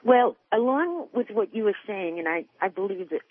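A woman's voice: medium pitch, animated, moderate pace, breathy edge. Woman in her sixties on a tinny phone line. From New York State, USA. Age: 60s. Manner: rambling, hesitant, many ums. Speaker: caller. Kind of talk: phone-in conversation. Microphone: telephone.

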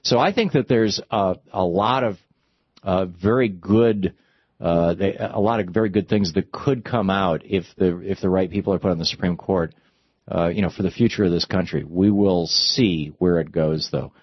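Low-voiced male talk radio host. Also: moderate pace, husky, no room sound, muffled recording. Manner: discursive, argumentative, stops and restarts mid-sentence.

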